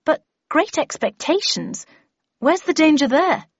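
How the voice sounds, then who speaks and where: A woman voicing a brisk, imperious, battle-hardened older female mentor in an audiobook, with clipped, commanding, matter-of-fact delivery. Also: high-pitched, lively intonation, dry recording, highs cut off, voice pushed up. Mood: emphatic, proud. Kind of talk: audiobook character voice.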